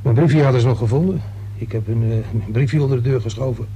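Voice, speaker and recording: A man's voice: mid-range voice, fast, dark tone, muffled recording, slight background noise, natural voice. A man acting a nervous, chatty working-class fellow in a Dutch radio play, hesitant, rambling and colloquial. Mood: gossipy.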